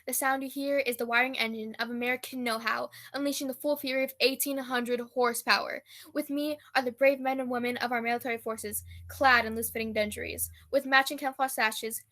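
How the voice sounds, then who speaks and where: Female war correspondent played by an actress: bright, ringing, high voice, pompous and dramatic old-radio reporting. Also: fairly even intonation, a little fast, few pauses, natural voice. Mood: solemn, grandiose. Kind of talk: old-time radio news report parody.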